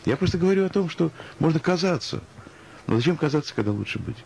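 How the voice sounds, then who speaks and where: A man's voice: on the high side, animated, fast talker, gravelly, darkish tone, slight background noise. A man speaking as a guest in a radio interview, musing and ironic, arguing through anecdotes and examples. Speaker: interview guest, music professional.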